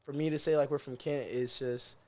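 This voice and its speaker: A man's voice: medium pitch, fairly even intonation, fairly quick, a little mellow. A young man from the Seattle area, a guest musician chatting casually in a podcast interview, talking in slang and quoting himself. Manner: laid-back slangy talk, quotes himself.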